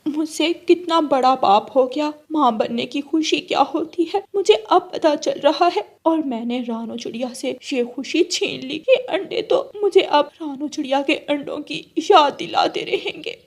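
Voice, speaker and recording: A woman's voice: high-pitched, a little slow, few pauses. A woman voicing a jealous mother crow in a children's cartoon, with a dramatic, emphatic delivery. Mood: remorseful. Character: spiteful jealous female crow, later remorseful mother. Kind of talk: Hindi children's cartoon voice acting.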